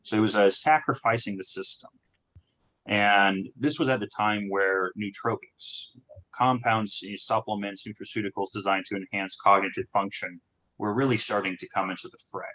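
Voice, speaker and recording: A man from Southern California, a startup founder telling his background in a relaxed talk, with many restarts and fillers. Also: on the low side, moderate pace, rough recording. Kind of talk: conversational talk on a group call.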